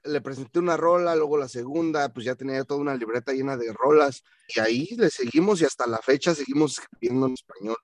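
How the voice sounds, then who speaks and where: A man from Mexico, a band vocalist telling stories in a relaxed, slangy way on a video call, slipping into English now and then. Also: medium pitch, fast talker, hardly any pauses, no echo. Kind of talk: casual storytelling in an interview.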